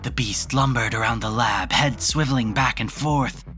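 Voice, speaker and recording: A woman narrating a horror audio drama in a measured, literary storytelling manner. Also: very deep voice, a bit bright. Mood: ominous. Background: music.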